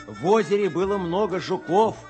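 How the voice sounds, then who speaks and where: Male radio presenter, fairly high voice, scripted funny anecdotes, music behind. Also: lively intonation, moderate pace. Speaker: children's radio show presenter. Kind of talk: scripted reading of comic anecdotes.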